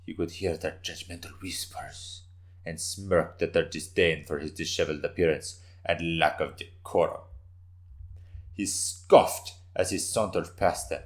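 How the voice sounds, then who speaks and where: A man's voice: low-pitched, measured pace. A man giving an intense, theatrical reading of a scripted story as its narrator.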